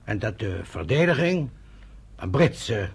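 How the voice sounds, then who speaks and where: An actor playing a formal, deliberate, legalistic statesman in a Dutch radio play. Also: on the low side, very animated, slightly rough, exaggerated voice. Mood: measured, formal. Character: measured, legalistic senior statesman, a doctor.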